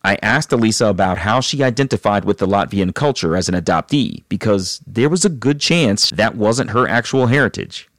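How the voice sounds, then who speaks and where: Measured low-voiced male host. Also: no room sound, clean studio sound. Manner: measured, calm storyteller. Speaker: podcast host. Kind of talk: scripted narration and interviewing.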